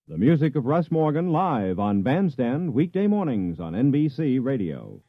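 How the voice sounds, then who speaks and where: A man's voice: mid-range voice, very animated, moderate pace. A man reading closing credits as a 1950s radio announcer in a formal, projected style. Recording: muffled vintage radio recording.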